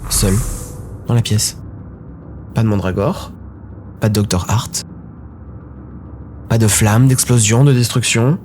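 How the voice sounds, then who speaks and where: A man's voice: fairly low pitch, takes it slowly, long pauses, darkish tone, slight background noise. A man narrating a scripted story dramatically, in short, brooding, suspenseful phrases. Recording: music behind the voice.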